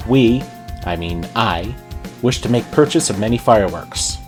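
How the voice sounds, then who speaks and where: A man's voice: on the low side, expressive, moderate pace, soft background music, natural voice. A man voicing a cloaked pony princess in clumsy disguise, regal and nervous, with formal, archaic speech in a storybook reading. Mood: stiff, loud.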